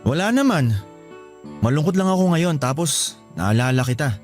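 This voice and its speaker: A man's voice: a little high, big pitch swings, normal speed, faint music behind. An actor playing a lovesick man in a radio drama, coaxing and pleading with his married lover. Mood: wistful. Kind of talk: Filipino radio drama acting.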